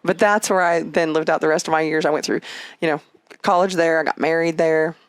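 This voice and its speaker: A woman's voice: deep voice, fairly even intonation, brisk, smooth, dry recording. Chatty woman with a dry, close sound. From Canada and Egypt. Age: 50s. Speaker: interview guest, horsewoman. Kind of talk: casual conversational storytelling.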